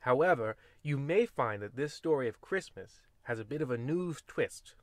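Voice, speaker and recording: A man's voice: mid-range voice, animated, normal speed, no echo, studio quality. A man narrating in the style of an old-time horror radio host, played for comedy, with rambling, folksy and wry asides. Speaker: host and storyteller of a radio anthology.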